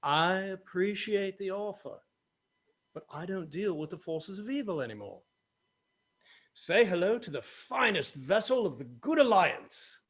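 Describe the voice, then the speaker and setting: A man's voice: high voice, big pitch swings, unhurried, slightly rough, heavily acted. A man playing a brash, roguish pirate captain in a table read of a fantasy comedy. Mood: smug. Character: gruff roguish orc-hating pirate captain, male.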